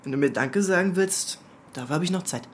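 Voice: mid-range voice, fairly quick, slight background noise, natural voice. An actor playing a nervous, protesting teenage boy, someone's friend, in a radio play. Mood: sullen. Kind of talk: German radio play acting.

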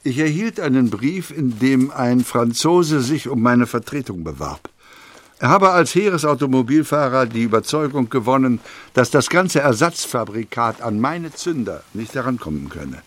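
An actor playing a male industrialist who recalls his business affairs in a measured, formal way for an audio documentary. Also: mid-range voice, big pitch swings, a bit bright. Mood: measured, satisfied. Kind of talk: first-person reading in a scripted audio documentary.

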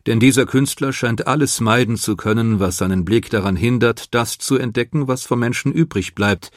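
A man reading scripted biographical narration in a measured, composed manner. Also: medium pitch, no room sound, studio quality. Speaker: documentary narrator. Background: music.

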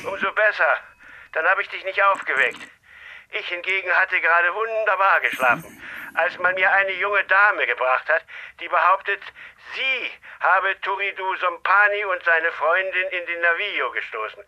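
An actor playing an exhausted, grumbling senior police commissioner in a German radio crime drama, with expressive delivery. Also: male voice, high-pitched, moderate pace, dull audio. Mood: exasperated. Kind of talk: German radio play acting. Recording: music under the voice.

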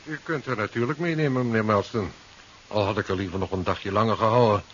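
Actor as cautious doctor on muffled old radio. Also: male voice, on the low side, natural voice. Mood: cautious, concerned. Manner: measured, polite, advising. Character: cautious, formal male hospital doctor. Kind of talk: 1970s Dutch radio drama acting. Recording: dull, hissy 1970s radio recording.

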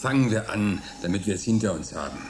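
A man's voice: lowish voice, expressive, fast, background noise, natural voice. A man acting in a radio play as a dry, resigned colonist, speaking in a matter-of-fact way. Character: dry, resigned male colonist plotting contact outside.

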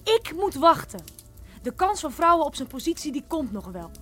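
An earnest, insistent woman campaigner in a radio play. Her voice is rather high and urgent, with faint music behind. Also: sing-song, moderate pace, sharp tone, exaggerated voice. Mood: urgent pleading. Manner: emphatic, urgent. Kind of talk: radio drama acting.

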